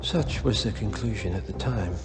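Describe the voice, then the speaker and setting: A man playing a grave, controlled head of a secret organisation in a game cutscene, speaking in terse, deliberate phrases. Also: lowish voice, lightly acted. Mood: grave, brooding. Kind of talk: dramatic game cutscene acting. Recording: loud music under the voice.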